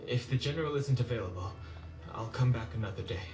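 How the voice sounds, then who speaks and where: An actor playing an aloof but courteous young warrior in a game, reserved and formal with little emotion. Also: male voice, mid-range voice, fast, over music, close to an everyday voice. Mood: stoic, polite. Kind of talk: scripted game voice acting. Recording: roomy game audio.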